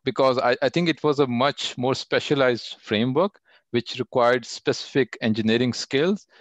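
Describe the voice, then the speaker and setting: A man's voice: medium pitch, moderate pace, no echo. A man answering technical questions over a video call, talking with many fillers and restarts. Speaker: guest speaker.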